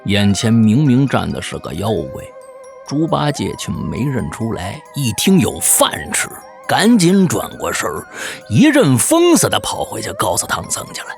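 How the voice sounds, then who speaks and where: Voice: mid-range voice, wildly swooping pitch, moderate pace, gravelly, faint music behind. A storyteller giving dramatic storybook narration in a folksy, traditional tale-telling manner.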